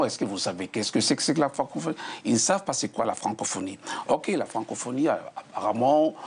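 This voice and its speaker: A man's voice: fairly low pitch, breathy edge. A man speaking as a guest on a televised debate, insistent and explanatory, posing rhetorical questions. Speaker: studio guest.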